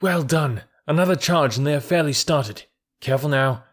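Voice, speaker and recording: A man's voice: medium pitch, lively intonation, moderate pace, pitched above the performer's own voice, lightly acted. A man voicing a bold young jungle boy who gives orders eagerly. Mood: excited. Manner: eager, commanding calls.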